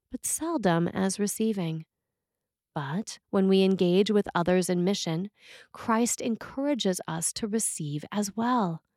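A woman reading a scripted sermon as a preacher, with measured, deliberate phrasing. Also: mid-range voice, darkish tone, no room sound, studio quality.

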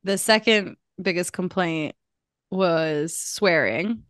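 A woman's voice: fairly low pitch, slow pace, fairly bright tone, no echo, studio quality. A young woman co-hosting a podcast, chatting casually with many fillers and restarts. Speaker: podcast co-host. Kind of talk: casual chat.

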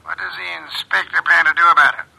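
Gravelly, imperious master detective. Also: male voice, on the high side, fairly even intonation, fast talker, pushed and bright, heavily acted, rough recording. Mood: brusque. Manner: pompous, formal, commanding. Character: brusque, imperious older male master detective. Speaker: actor playing a detective. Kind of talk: classic American radio drama acting. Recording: old radio drama recording.

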